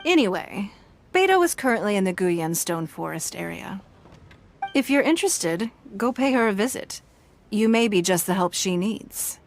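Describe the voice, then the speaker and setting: A woman voicing a composed, shrewd, elegant businesswoman in a video game, speaking in a formal, measured, precise way. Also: medium pitch, very animated, a little hiss or hum. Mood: composed, coy. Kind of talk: scripted game dialogue.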